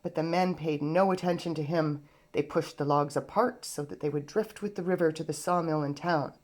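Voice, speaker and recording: A woman narrating a children's storybook aloud in an expressive storytelling manner. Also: low-pitched, normal speed. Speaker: storybook reader.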